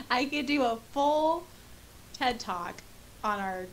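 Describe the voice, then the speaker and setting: Casting: American female podcast co-host, 30s, casual animated chatter, noticeable hiss on the track. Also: sits high, very slow. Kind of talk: casual chat, reading out and reacting to listener comments. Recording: clear background noise with hiss.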